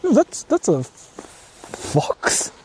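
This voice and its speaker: A man chatting casually on a live stream outdoors, thinking aloud and repeating himself with exclamations and casual swearing. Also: drawn-out words. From Japan. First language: Japanese. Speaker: livestreamer host exploring on foot. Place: outdoors, walking in the countryside. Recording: noisy outdoor live-stream, ambient vehicle sounds.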